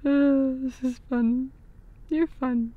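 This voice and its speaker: A woman's voice: sits high, steady tone, slow pace, dry recording, dull audio. A woman playing a playful, affectionate girlfriend in an intimate ASMR roleplay, giggly and slipping into whispers. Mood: amused, teasing. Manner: breathy giggles, dropping into whispers.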